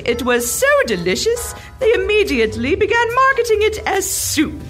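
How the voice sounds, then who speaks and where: A woman's voice: very high-pitched, fairly bright tone, heavily acted. A woman voicing a grand, stately parody First Lady in a 1940s radio commercial, drawing out her words theatrically.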